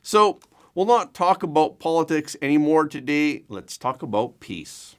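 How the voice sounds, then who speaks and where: A man anchors a scripted news report in a fairly high voice. His intonation swings widely, and he stresses key words in a measured, emphatic way. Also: very animated, noisy background.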